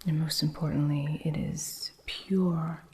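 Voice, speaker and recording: A woman voicing an eccentric, possessive witch who runs a curio shop, speaking in soft, unhurried ASMR whispers close to the microphone with a stern, territorial edge. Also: sits low, fairly even intonation, slight background noise. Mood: hushed whispering. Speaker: ASMR roleplay artist.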